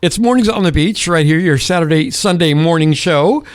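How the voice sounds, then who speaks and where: A man's voice: a little high, expressive, moderate pace, no room sound, faint music behind. A man hosting a radio morning show, talking casually and interviewing a guest in a studio.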